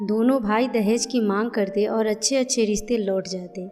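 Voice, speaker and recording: A woman storyteller with a mid-pitched voice narrates a family cartoon. Her delivery is scripted narration. Music plays underneath. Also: steady tone, moderate pace, darkish tone. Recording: music behind the voice.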